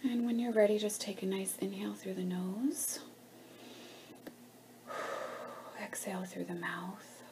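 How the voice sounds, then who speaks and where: A woman leading a guided meditation in a gentle, hushed, soothing manner with pauses between phrases. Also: medium pitch, fairly even intonation, background noise.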